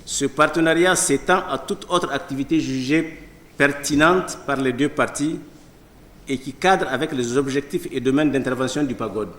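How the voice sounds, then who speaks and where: A man's voice: medium pitch, normal speed, a bit bright. A man from Niger, reading a formal prepared speech as an association official. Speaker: association vice-president, traditional chief. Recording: echoey room.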